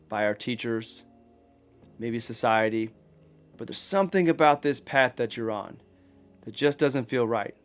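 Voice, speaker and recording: A man leading a guided meditation, giving gentle, plain-spoken, encouraging instruction with unhurried pauses. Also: mid-range voice.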